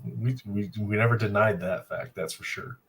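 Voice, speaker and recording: Upbeat low-voiced stream host. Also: male voice, vocal fry, a bit bright, slight background noise. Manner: upbeat, reads chat aloud, quick fillers. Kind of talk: casual livestream hosting.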